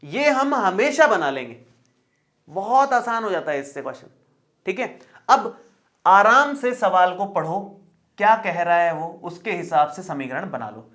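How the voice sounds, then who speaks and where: A man's voice: high voice, a bit bright. A male math teacher explaining a lesson step by step in a chatty, reassuring way, often repeating points and checking in with the viewer.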